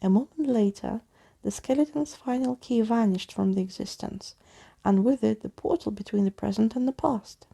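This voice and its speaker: A woman reading a story aloud as a soft-spoken, unhurried and expressive ASMR storyteller. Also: on the high side, vocal fry, breathy edge.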